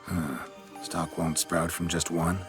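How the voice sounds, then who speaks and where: An actor playing a stoic, weary monster hunter in a video game, gruff, terse and deadpan. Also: male voice, deep voice, slow, music in the background. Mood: dry, curious.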